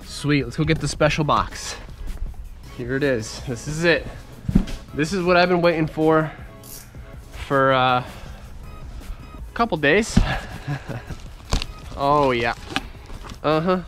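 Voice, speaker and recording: A young man with a congested voice, chatting excitedly to viewers as he works on his car in a driveway, recorded on a head-mounted camera. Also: on the high side, slow, long pauses, music in the background. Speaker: car vlogger. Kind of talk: casual point-of-view vlog. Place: outdoors in a driveway, working in a car. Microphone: head-mounted action camera.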